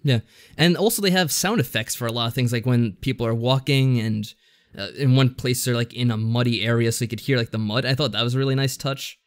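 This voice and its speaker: A man's voice: mid-range voice, brisk. A man co-hosting a podcast, giving a casual review in a rambling, slightly hesitant explanatory way. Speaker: podcast co-host.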